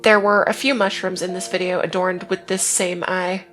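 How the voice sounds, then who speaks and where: Woman narrating in a flat, even tone. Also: lowish voice, moderate pace, faint music behind. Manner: wry asides between plain retelling. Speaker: video essay host. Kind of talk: scripted video essay narration.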